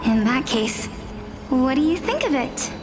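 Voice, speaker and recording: A woman playing a gentle, playful young scholar who tells fables in a measured, teasing way in a video game. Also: sits high, big pitch swings. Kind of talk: dramatic storytelling, scripted game dialogue. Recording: music behind the voice.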